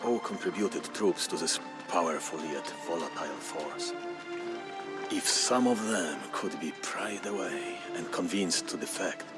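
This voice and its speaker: A man narrating a historical tale as scripted storytelling, in a grave, measured, theatrical manner. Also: sits low. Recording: music behind the voice. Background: music.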